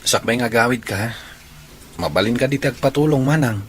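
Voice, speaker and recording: An earnest young Filipino man acted by a man courting in a radio drama. His voice is medium in pitch, over faint background noise. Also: a little fast, natural voice. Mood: friendly. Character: Marlon, earnest young male suitor.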